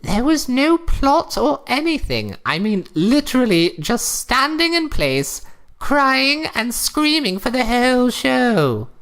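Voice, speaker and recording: A woman's voice: high-pitched, sing-song, slow pace, fairly bright tone, full character voice. A woman playing a prim, bossy old wife giving a theatrical comedic reading of a horror story. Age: older.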